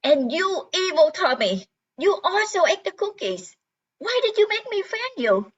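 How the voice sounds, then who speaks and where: A woman's voice: very high voice, sing-song, measured pace, exaggerated voice. A woman playing a weary, whiny eight-year-old little sister in an amateur radio play. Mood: indignant. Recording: dull, muffled lo-fi sound.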